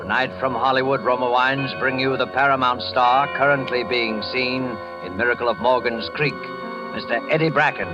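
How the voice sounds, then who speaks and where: A man's voice: mid-range voice, fairly even intonation, normal speed. A man hosting in a formal, suspenseful 1940s radio style. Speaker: host. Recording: dull 1940s radio, music behind.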